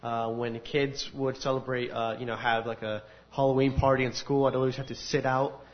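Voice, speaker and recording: A man of ordinary pitch gives a personal testimony to an audience in a large room. He tells his story earnestly and with animated energy. The recording has a dull, lo-fi sound. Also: a little fast. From United States. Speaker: speaker giving a testimony. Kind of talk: personal testimony at a faith gathering.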